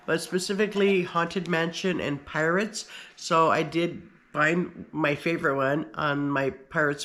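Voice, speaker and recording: A man's voice: a little high, sharp tone. Slightly nasal man with a cold. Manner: rambling, many fillers and restarts. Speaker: pin collector. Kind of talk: casual show-and-tell.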